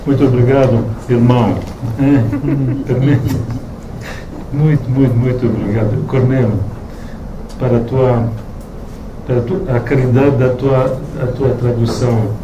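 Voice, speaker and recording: A man with a Romanian accent, whose first language is Romanian, gives a halting thank-you speech as author at a book launch, often stopping to search for words. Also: mid-range voice, flat delivery, highs cut off. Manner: hesitant, searching for words, many restarts. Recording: echoey room, distant mic, noisy.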